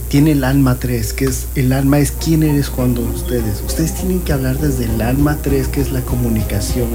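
A man's voice: medium pitch, mellow. A man giving an emphatic, declamatory motivational reading to a band. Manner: declamatory, urging. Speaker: numerology segment presenter. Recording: music clearly behind.

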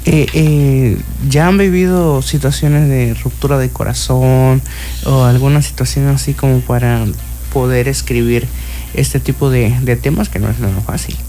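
Woman interviewer, mellow and chatty, heard off-mic. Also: extremely low pitch, steady tone, a little slow. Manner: chatty, prompting. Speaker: interview host. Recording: distant from the mic.

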